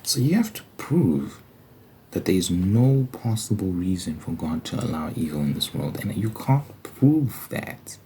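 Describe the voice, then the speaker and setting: A man's voice: sits low, big pitch swings, measured pace, dark tone, slight background noise. A man giving an informal ASMR-style Bible commentary, arguing his points emphatically with rhetorical questions. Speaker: host of an ASMR Bible study channel.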